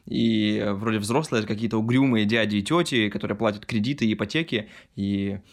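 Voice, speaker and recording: A man's voice: lowish voice, fast. A man in his mid-twenties from Russia co-hosting a podcast, chatting casually in a reflective, colloquial way. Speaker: podcast co-host. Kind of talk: casual chat.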